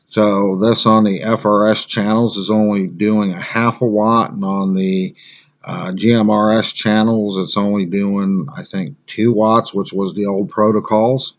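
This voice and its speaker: Male, American, fairly low pitch; plain-spoken survival instructor doing a loose, unscripted gear review. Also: fairly even intonation, unhurried, muffled recording. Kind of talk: casual unscripted product review.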